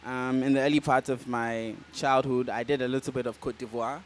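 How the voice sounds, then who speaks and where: A man with a Ghanaian accent, answering questions as the guest at a live talk. His pitch sits in the middle range, and he speaks loosely and casually, filling his pauses with "um" and "like.". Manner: relaxed, casual, many fillers like "um" and "like". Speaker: guest fashion designer. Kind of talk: interview answers.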